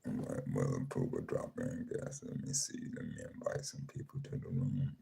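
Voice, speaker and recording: Male host, very deep dark voice with heavy fry, calm and unhurried, live-room chat. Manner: slow, calm, repeats himself, reads names off a screen. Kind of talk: casual livestream chat, greeting listeners.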